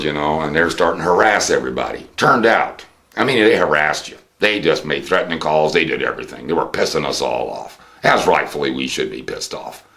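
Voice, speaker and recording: Deep, gravelly American man, dramatically expressive. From United States. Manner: theatrical, rhetorical questions with drawn-out emphasis. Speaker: host of a political commentary show. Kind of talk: unscripted political monologue and storytelling.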